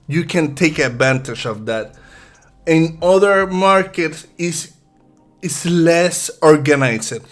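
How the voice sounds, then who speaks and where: Slow, halting Puerto Rican man. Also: a little high. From Puerto Rico. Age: 30s. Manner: halting, soft-spoken, many restarts. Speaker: futures trader guest. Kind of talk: live-stream chat while trading.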